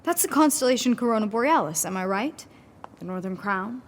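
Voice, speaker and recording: A woman's voice: on the high side, lively intonation, fairly quick, a little hiss or hum, natural voice. A woman playing an anxious, weary and insistent woman sculptor in a theatrical, pleading radio horror drama. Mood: uneasy.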